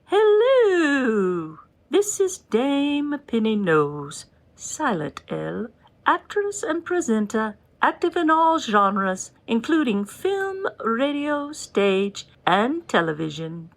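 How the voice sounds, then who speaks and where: A woman's voice: a little high, big pitch swings, takes it slowly, heavily acted. A woman playing a pompous grand old stage dame with a haughty, theatrical British accent. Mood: grandiose. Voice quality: haughty, theatrical, self-important. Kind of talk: comedy podcast sketch.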